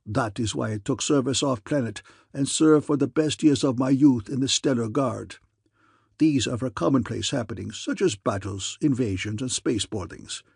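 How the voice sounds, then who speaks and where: A man voicing a brash, boastful con man who poses as a provincial count, gruff and pompous, with a thick invented aristocratic accent. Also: mid-range voice, normal speed, darkish tone, dry recording, studio quality. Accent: thick invented foreign aristocratic accent. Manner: gruff, pompous, scowling delivery.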